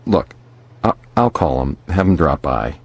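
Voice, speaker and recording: An actor playing an uneasy, irritated middle-aged father in a radio drama, stern but evasive, with halting, hesitant speech. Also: extremely low pitch, very animated, creaky, slight background noise, exaggerated voice. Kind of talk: naturalistic radio drama acting.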